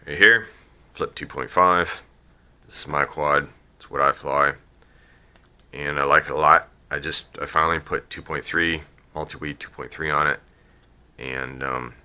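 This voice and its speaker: A man with a cold, sniffly and croaky, casually explaining a drone build at his workbench, rambling with many ums and restarts. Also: very deep voice, steady tone, moderate pace, pushed and bright. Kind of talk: casual how-to build video.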